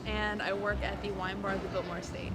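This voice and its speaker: A woman's voice: mid-range voice, flat delivery, brisk, heavy background noise. A woman from Seattle answering street interview questions in a casual, breezy way. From Seattle, US Northwest. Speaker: interviewee, wine bar worker.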